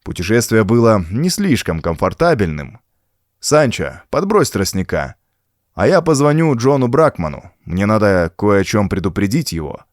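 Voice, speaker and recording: A man's voice: fairly low pitch, very animated, moderate pace, no echo, studio quality. A man voicing a tough, protective rancher hero, terse and commanding, in an audiobook. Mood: brisk, attentive.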